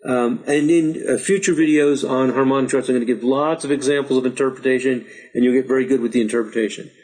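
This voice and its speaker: A middle-aged man who is losing his voice, patiently walking learners through a software chart tutorial and urging them to practice. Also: medium pitch, fairly even intonation, fast talker, poor audio. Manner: patient, repetitive, encouraging. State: slightly hoarse, losing his voice. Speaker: astrology teacher. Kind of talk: screen-share software tutorial.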